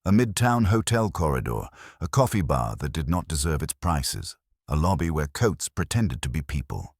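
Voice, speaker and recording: A man narrating a scripted first-person detective story as an audiobook, with wry, deadpan phrasing. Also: rumbling low, normal speed, slightly rough, no echo, studio quality.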